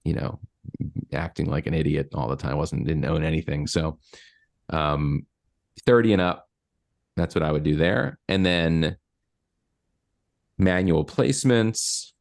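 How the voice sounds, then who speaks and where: A man's voice: extremely low pitch, measured pace, creaky, long pauses. A man in his forties coaching a client step by step over a video call, explaining with many fillers. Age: 40s. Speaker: marketing coach. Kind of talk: screen-share coaching session.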